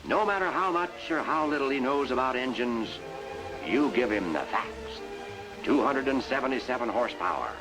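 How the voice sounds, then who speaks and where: An actor playing a bossy, emphatic sales mentor who narrates and coaches in a 1950s industrial training film. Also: male voice, mid-range voice, lightly acted, low-quality recording. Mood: patient, emphatic. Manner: instructive, emphatic, salesmanlike delivery. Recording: vintage 1950s film soundtrack with music.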